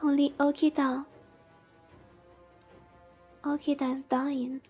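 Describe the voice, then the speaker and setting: A woman's voice: high voice, level tone, takes it slowly, darkish tone. A woman VTuber reading a visual novel aloud in a soothing way and adding casual commentary. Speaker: VTuber streamer. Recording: background music. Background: music.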